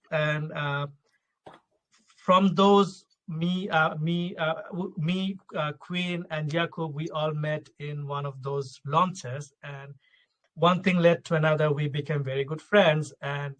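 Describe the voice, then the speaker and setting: A man's voice: a little high, fairly bright tone, poor audio. Bangladeshi-born man, loose tag-question talk. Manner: loose, repeats "right?" and restarts often. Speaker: token project founder. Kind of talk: live audio AMA talk. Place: Luxembourg.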